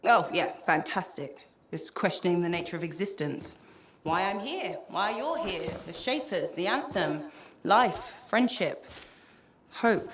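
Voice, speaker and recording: A thoughtful mystic who rambles wistfully about her doubts and blames herself. Her voice sits on the low side for a woman. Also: expressive, measured pace, fairly bright tone. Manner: rambling, wistful, self-deprecating. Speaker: cypher ally. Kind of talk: video game cutscene acting.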